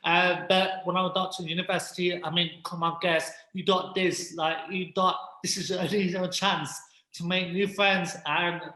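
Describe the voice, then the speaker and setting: Man, interview guest, halting speech, frequent restarts, fairly high pitch, echoey recording. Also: flat delivery, pushed and bright. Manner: halting, many restarts and fillers. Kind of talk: casual interview talk.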